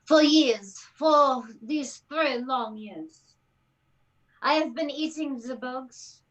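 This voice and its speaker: A woman doing a laid-back creature who eats bugs, delivered deadpan and mock-dramatic. Her pitch sits high and her pace is slow, over a video-call mic. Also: low-quality recording. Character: laid-back bug-eating creature, female.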